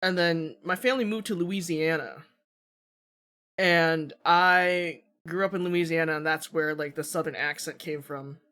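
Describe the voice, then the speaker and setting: A woman's voice: low-pitched, a little slow, fairly bright tone. A woman with a Midwestern American accent chatting on a stream, giggling and stammering through her restarts. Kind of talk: casual stream chat.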